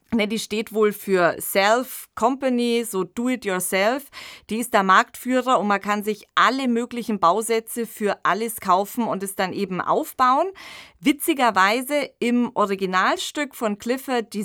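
A woman's voice: medium pitch, measured pace, a bit bright, no room sound, clean studio sound. A woman hosting a podcast, casually introducing a radio play in an explanatory, conversational way. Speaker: podcast host.

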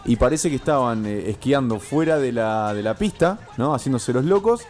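A man's voice: mid-range voice, lively intonation, moderate pace, darkish tone. A man with an Argentine accent chatting casually and jokingly as a co-host, in colloquial language. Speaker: radio show co-host. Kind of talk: casual chat. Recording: music playing behind the voice. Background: music.

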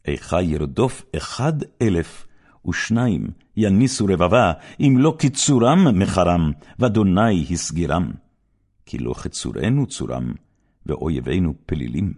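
A low-voiced man reads scripture in a solemn, declamatory way, pausing dramatically between short verses. His tone is dark and mellow with a husky edge, and his pitch rises and falls widely as he lifts and drops each phrase. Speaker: Bible reader.

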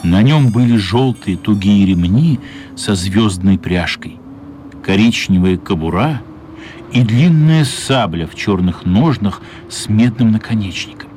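A man narrating a children's radio play as scripted storytelling, measured and expressive, with pauses. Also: on the low side, slight background noise. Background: music.

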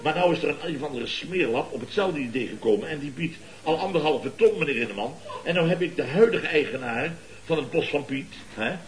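An actor playing a blustering, boastful contractor boss in a Dutch radio comedy, with a pompous, theatrical, lecturing manner. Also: male voice, fairly high pitch, lively intonation, fairly quick, few pauses, natural voice, rough recording. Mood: flustered. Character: blustering, boastful contractor boss and uncle, scheming wheeler-dealer.

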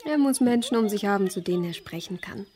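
An actress playing a patient, placating woman of a scholar's household, gently reasoning in a radio play. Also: mid-range voice, animated, quick pace, close to an everyday voice. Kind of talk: German radio play acting.

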